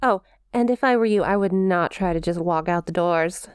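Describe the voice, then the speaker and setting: A woman's voice: on the low side, moderate pace, smooth, no room sound, studio quality, close to an everyday voice. A woman playing a perky, smug demon imp in an ASMR roleplay, teasing with playful mock sympathy and a possessive streak. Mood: smug, menacing. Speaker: audio roleplay performer.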